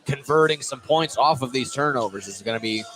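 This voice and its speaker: A man's voice: mid-range voice, a little fast, fairly bright tone. A man calling live basketball play-by-play as the announcer. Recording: noisy gym ambience, ball bounces. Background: basketball game in a busy gym.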